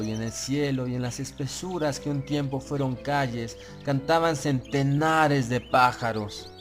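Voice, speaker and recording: A man reads a gothic horror story in a voice of medium pitch. His delivery is solemn and literary, measured, with phrase endings that drop away. Music plays clearly behind the narration. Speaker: audiobook narrator. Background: background music.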